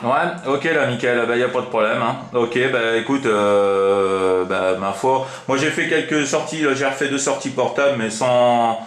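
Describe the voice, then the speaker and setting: A man's voice: medium pitch, moderate pace, hardly any pauses, a bit bright. A man chatting casually as an amateur CB radio operator, rambling informally with frequent tag words. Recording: roomy, echoing room sound.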